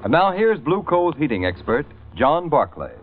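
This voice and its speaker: A man's voice: fairly high pitch, big pitch swings, normal speed. A man announcing from a script in formal, emphatic 1940s radio style. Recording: muffled old radio, loud music.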